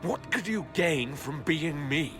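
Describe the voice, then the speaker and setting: An actor playing a steady, earnest male elf hero in a video game, measured and questioning. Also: a little high, soft background music. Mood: questioning, guarded. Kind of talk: video game character acting.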